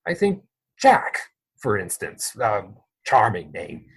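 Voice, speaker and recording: Flustered, husky, lovestruck gentleman suitor played by a man. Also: on the low side, huge pitch swings, takes it slowly, full character voice. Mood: flustered, hesitant. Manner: hesitant, stammering, flustered. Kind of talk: theatrical dramatic reading of period comedy.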